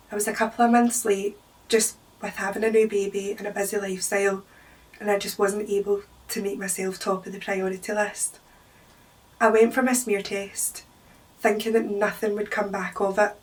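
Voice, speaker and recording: Woman, early 30s, Scottish accent, earnest, level monotone, slightly distant mic. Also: mid-range voice. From Scotland. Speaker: storyteller. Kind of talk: personal testimony.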